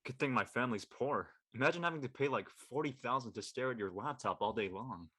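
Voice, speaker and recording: A young man playing a jokey, excitable college student with brash, slangy delivery in a comedic drama reading. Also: mid-range voice, a little fast, breathy edge. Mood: cheeky. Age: young adult.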